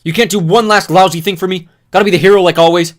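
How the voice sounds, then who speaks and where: A man's voice: medium pitch, quick pace, lightly acted. A man voicing a furious young man sliding into madness, ranting and screaming bitter accusations. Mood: raging. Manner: screaming, ranting, spitting rage.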